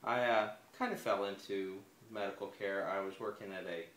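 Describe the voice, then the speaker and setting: A man in his forties with a very low voice answers interview questions hesitantly, often pausing and restarting. The recording sounds echoey, with a faint hum of room noise behind him. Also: steady tone. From United States. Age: 40s. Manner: hesitant, soft-spoken, many fillers and restarts. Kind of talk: personal interview.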